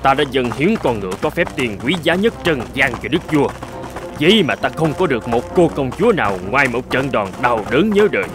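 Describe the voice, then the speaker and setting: A man's voice: medium pitch, sing-song, a little fast, music in the background, lightly acted. A man voicing a scheming old male sage in a cartoon fairy tale, gloating and wheedling. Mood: bitter.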